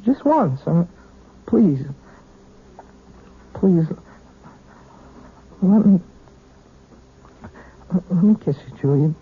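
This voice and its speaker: A lovesick young piano student. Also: male voice, high-pitched, lively intonation, slightly rough, full character voice. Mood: pleading. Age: 20s. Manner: halting, stammering, pleading. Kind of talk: 1970s radio drama acting. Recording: tinny lo-fi old radio broadcast.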